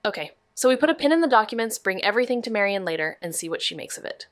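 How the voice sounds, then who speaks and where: A woman's voice: mid-range voice, sing-song, racing, no room sound, lightly acted. Casting: actress for woman amateur sleuth, playful yet determined, natural mid-range voice, dry studio sound, podcast noir drama. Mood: focused, investigative. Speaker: actor in a neo-noir mystery podcast. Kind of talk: naturalistic audio drama dialogue.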